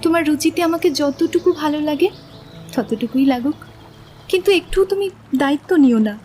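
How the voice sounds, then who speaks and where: A woman playing a gentle, earnest young woman in a romantic audio drama, speaking softly and candidly about her doubts in love. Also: high voice, soft background music, close to an everyday voice. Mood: gently firm. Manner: soft-toned, sincere, measured. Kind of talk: Bengali literary audio drama acting.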